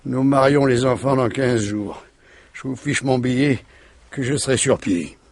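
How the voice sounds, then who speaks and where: An actor playing an ailing, sardonic middle-aged husband in a French radio mystery, with a husky voice and ironic, drawling delivery. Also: male voice, mid-range voice, measured pace, a little hiss or hum, natural voice. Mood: mocking. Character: ailing, sardonic, bedridden husband suspected of murder.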